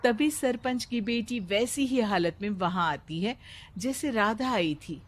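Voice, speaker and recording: A woman narrating a cartoon moral story in an even, matter-of-fact storytelling voice. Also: a little high, unhurried, a little hiss or hum.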